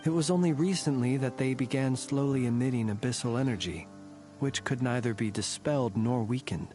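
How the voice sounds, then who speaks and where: A male actor voices a stoic, courtly warrior from a video game. His voice sits at a medium male pitch, and he speaks in measured, old-fashioned, ornate sentences with a dry wit. Music plays softly behind him. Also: close to an everyday voice. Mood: composed, reflective. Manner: formal, archaic, eloquent, measured. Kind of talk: scripted video game character dialogue. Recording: game audio with background music.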